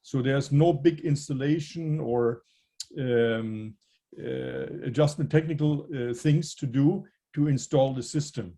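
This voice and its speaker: A man answering interview questions about aviation technology, measured and hesitant as he explains technical points. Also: medium pitch, gravelly, dark tone.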